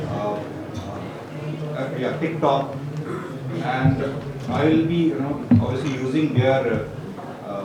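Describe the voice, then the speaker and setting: A man in a conference audience, asking a question in a halting, searching way and often saying you know. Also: medium pitch. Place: large conference hall. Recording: very echoey hall, distant, loud background noise.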